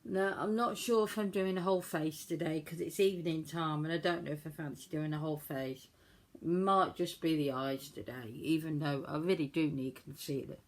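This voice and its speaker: Croaky lady doing her eye makeup, lowish voice, chatty and a bit self-mocking. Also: fairly even intonation, moderate pace. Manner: chatty, self-deprecating, talks while working. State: croaky from a cold. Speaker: beauty vlogger. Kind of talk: casual makeup tutorial chat.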